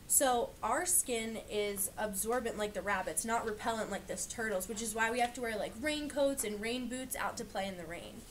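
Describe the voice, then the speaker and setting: A woman reading a picture book aloud to young children, pausing to explain and point things out. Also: on the high side, a bit bright, slight background noise. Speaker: reader teaching young children.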